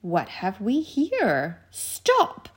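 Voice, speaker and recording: A woman's voice: lowish voice, expressive, slow pace, slightly rough, exaggerated voice. A cruel storybook witch queen, voiced by a woman, rules with menace. Her voice is imperious and angry, with a slight husk, and she delivers her lines as commands. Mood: sharp suspicion. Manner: commanding, menacing, roaring in anger.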